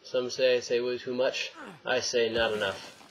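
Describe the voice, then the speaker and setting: A man's voice: mid-range voice, level tone, normal speed, fairly bright tone. A young man giving casual, jokey let's play commentary, rambling to himself and the game as he plays. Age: young adult. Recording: dull lo-fi mic, faint noise.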